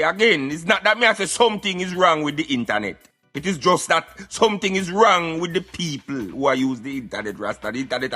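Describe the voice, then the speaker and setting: An emphatic Jamaican Rasta played by a man holds forth on a satirical radio show. His voice is highish and forceful, preachy and streetwise, with faint music behind. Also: heavily acted. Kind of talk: satirical radio rant in patois.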